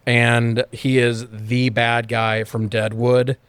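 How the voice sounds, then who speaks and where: A man's voice: medium pitch, flat delivery, takes it slowly, a bit bright, smooth, dry recording. A man co-hosting a podcast, chatting casually and enthusiastically about movies with plenty of swearing. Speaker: podcast co-host.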